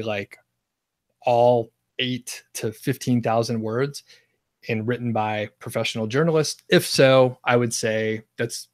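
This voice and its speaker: A man's voice: medium pitch, no echo. Guy guesting on a live stream, mid voice, laid-back explaining, lots of likes, dry sound. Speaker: guest expert. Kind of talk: casual livestream Q&A.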